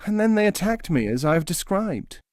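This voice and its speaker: A man puts on a forlorn little nightingale from a storybook fable, pitched well up from natural talk. The bird is male, earnest and plaintive, pleading its case in a dry, close sound. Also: big pitch swings, fairly quick, a little mellow, no room sound. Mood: plaintive, aggrieved.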